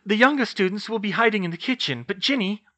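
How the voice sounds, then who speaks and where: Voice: medium pitch, animated, fast talker, pushed and bright, voice pushed up. A narrator voicing an earnest, anxious teenage witch girl with an emphatic manner, in a fantasy audiobook.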